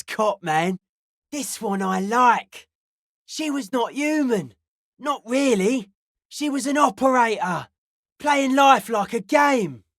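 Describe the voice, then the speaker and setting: Cryptic, earnest young raver psychic, dry recording. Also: female voice, a little high, no echo. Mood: brooding. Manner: slangy, cryptic, matter-of-fact. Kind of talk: video game character dialogue.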